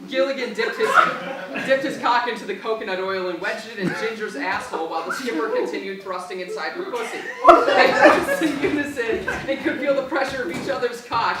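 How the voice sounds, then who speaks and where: A woman's voice: on the high side, expressive, fairly quick, over a noisy crowd. A woman narrating a live dramatic reading of parody fan fiction. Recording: echoey room, distant, very loud audience.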